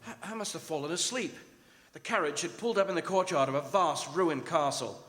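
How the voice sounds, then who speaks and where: A man acting as an earnest, uneasy young gentleman traveller, narrating his journal in a formal, dramatic radio-theatre style. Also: a little high, a little fast, natural voice. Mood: mounting dread. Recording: very noisy, echoing live hall recording.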